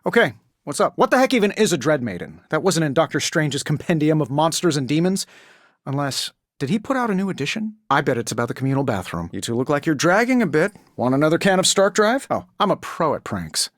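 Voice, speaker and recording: An actor playing a cocky, quippy superhero inventor in a video game, glib and sarcastic. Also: male voice, fairly high pitch, big pitch swings, brisk, dry recording, clean studio sound. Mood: playful, sardonic. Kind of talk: video game voice acting, scripted quips.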